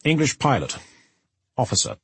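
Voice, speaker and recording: A man voicing a captured, injured English pilot officer in an audiobook, in terse, halting phrases. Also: medium pitch, big pitch swings, breathy edge, no echo, voice pushed up. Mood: tense, halting.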